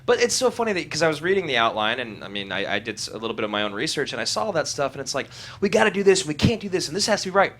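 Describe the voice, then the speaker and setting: A man's voice: fairly high pitch, big pitch swings, rapid-fire, few pauses, a bit bright. A young man chatting casually as a panel guest, slangy and sweary, with some background noise. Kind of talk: casual excited chat. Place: small indoor podcast studio.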